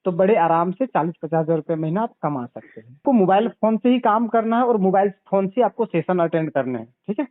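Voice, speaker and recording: A man giving a didactic sales pitch as a consultant over the phone, repeating points and checking back with questions. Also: lively intonation, brisk, hardly any pauses, dry recording. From Bihar, India. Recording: narrow, tinny phone call recording.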